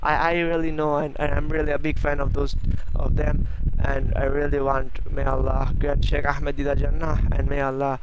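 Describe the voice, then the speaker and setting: Young male host, slightly high voice, rambling and playful, noisy home mic. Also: monotone, moderate pace, lo-fi sound. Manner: chatty, thinks aloud, repeats names, playful. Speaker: channel host. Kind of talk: casual screen-recorded search commentary.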